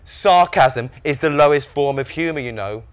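A man acts out a know-it-all little boy in a children's story. The voice is very high and animated, bossy and teasing towards older siblings. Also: moderate pace, clear, resonant tone, lightly acted. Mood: smug.

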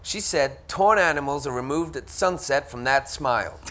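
A man's voice: mid-range voice, moderate pace, fairly bright tone, lightly acted. A man doing a camp, arch literary critic. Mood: teasing. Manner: arch, theatrical drawl. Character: camp, witty older male literary critic.

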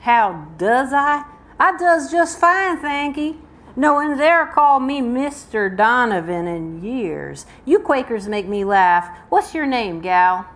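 A woman's voice: high-pitched, expressive, slow pace, fairly bright tone, voice pushed up, exaggerated voice. A woman voicing a menacing, mocking man with a Southern American accent and a taunting drawl, in a live book reading. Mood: amused, mocking. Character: tall menacing mocking male slave hunter on horseback. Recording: noisy live event room recording.

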